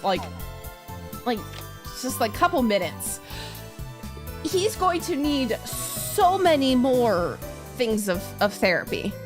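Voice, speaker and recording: A woman streamer chatting casually through a game, expressive and giggly, often swearing and interrupting herself. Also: on the high side. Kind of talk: live visual novel playthrough commentary, casual chat.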